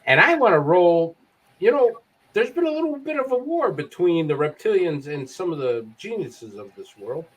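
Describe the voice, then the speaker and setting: A man's voice: sits high, sing-song, moderate pace, a bit bright, low-quality recording. A man co-hosting a casual talk show, presenting a news segment with teasing jokes. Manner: jokey, teasing delivery.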